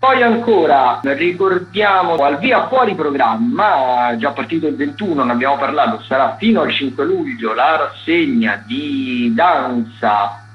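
A man's voice: medium pitch, expressive, unhurried. Informal man on lo-fi radio. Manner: informal, hesitant, self-correcting, wordy with hedging asides. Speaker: radio host presenting arts listings. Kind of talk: loosely improvised radio events rundown. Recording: dull lo-fi radio sound, music behind. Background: music.

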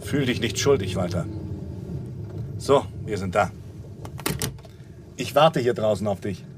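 An actor playing a supportive male friend in a radio crime play, calm, considerate and conversational. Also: lowish voice, unhurried. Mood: concerned, supportive. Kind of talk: 1980s radio play acting. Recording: clear car and street noise.

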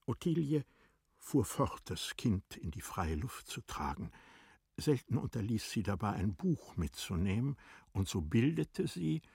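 Slow, deep, husky male narrator. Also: sing-song, darkish tone, no room sound, studio quality. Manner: measured, deliberate phrasing. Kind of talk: scripted literary narration.

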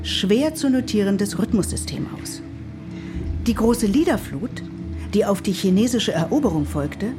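An actress playing a lecturer who gives a composed talk to an audience, with music behind. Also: female voice, medium pitch, expressive, a little slow, natural voice. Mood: calm. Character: female lecturer addressing a listening audience.

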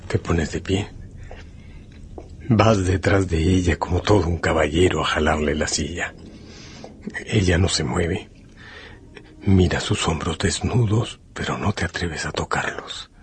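A man narrating a scripted radio drama in a measured, solemn storytelling manner. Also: sits low, slight background noise.

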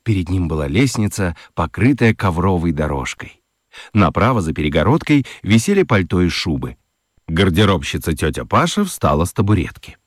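A man narrating a children's radio play as an expressive, scripted storyteller. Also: low voice, moderate pace, dry recording, clean studio sound.

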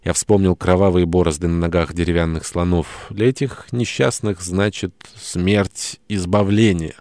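A man's voice: deep voice, fairly even intonation, normal speed, darkish tone, dry recording. A man reading a scripted first-person story as an audiobook narrator, acting out the dialogue as he goes.

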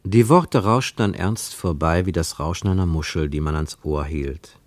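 A man narrating a literary radio play in a reflective, rhetorical manner with deliberate emphasis. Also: sits low, no room sound, lightly acted. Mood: resigned, explanatory. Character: reflective literary narrator of a postwar travel story.

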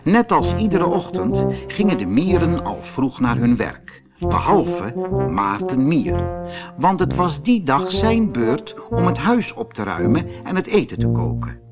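A man narrating a children's fairy tale audiobook in an expressive storytelling manner. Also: on the high side, unhurried, over loud music.

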